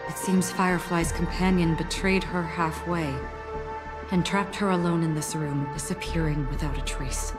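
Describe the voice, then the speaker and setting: A woman's voice: low voice, steady tone, moderate pace, over loud music, natural voice. An actress playing a serious, inquisitive woman investigator in a video game, with an earnest and analytical delivery. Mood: serious, puzzled. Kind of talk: scripted video game dialogue.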